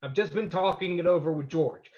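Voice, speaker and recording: Guy playing a determined radio sleuth, voice a bit high, measured, slightly lo-fi recording. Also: a little mellow, natural voice. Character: resolute, cryptic male crime-fighting sleuth. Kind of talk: old-time radio drama reading. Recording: slightly dull, lo-fi sound.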